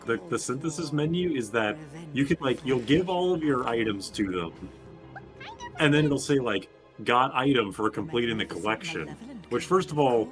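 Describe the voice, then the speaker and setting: A man giving casual gameplay commentary in a rambling, halting, sweary way. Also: medium pitch, fairly bright tone. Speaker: video game streamer. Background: game music.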